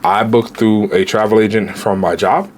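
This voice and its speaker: A man in his late twenties, a travel vlogger giving casual, animated budget travel advice straight to viewers, with slang. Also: lowish voice, moderate pace, slight background noise. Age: 20s.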